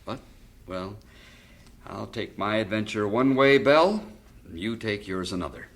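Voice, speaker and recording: A man playing a fond, wry middle-aged father in a vintage radio drama, speaking gently and philosophically. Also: fairly low pitch, lively intonation, a little slow. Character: fond widowed father, stock investor.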